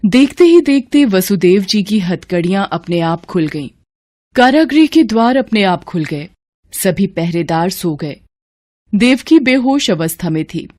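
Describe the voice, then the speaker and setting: A woman narrates a scripted devotional tale. Her voice sits at a medium pitch, in a storyteller's delivery. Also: darkish tone, no room sound, clean studio sound.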